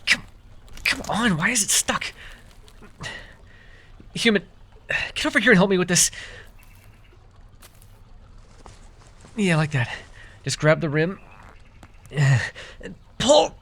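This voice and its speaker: A man's voice: high-pitched, sing-song, unhurried, long pauses, bright, forceful tone, husky, full character voice. A man playing an easily flustered, teasing but caring fae forest guide in a fantasy roleplay, with exasperated banter. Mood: flustered, bossy. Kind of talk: immersive fantasy roleplay ASMR acting.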